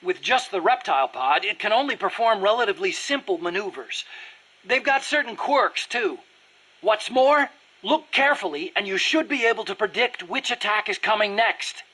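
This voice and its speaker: A man's voice: high-pitched, animated, normal speed, bright, forceful tone, breathy edge, heavily acted, rough recording. A man playing an earnest, nerdy American weapons engineer in a video game, eager to explain things in precise detail. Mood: anxious, urgent. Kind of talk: video game dialogue acting.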